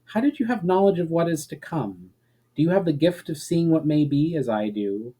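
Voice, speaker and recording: Storybook elf traveler, male man acting: polite, inquisitive, earnest, formal, pitched up from the reader's natural voice. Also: lively intonation, normal speed, mellow, slightly rough. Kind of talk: dramatic storybook reading.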